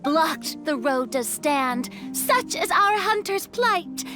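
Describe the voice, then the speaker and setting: A woman's voice: high voice, expressive, slow. An actress playing an earnest, theatrical young woman in an English-dubbed anime game, with a girlish, sometimes hesitant delivery. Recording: music behind the voice.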